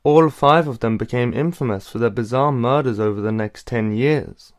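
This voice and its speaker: A man playing a cool, eloquent teenage older brother in an amateur audio drama, speaking in a calm, deliberate way. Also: mid-range voice, close to an everyday voice. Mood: knowing.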